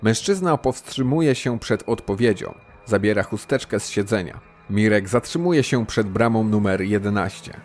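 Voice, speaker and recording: Low-voiced male crime story narrator. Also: moderate pace, few pauses, a little mellow. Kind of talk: scripted crime drama narration.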